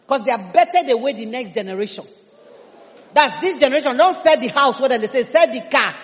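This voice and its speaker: A woman's voice: sits high, expressive, a little fast. Nigerian woman preaching in a reverberant hall. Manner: emphatic, humorous preaching. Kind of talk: sermon. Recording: long reverb in a big hall.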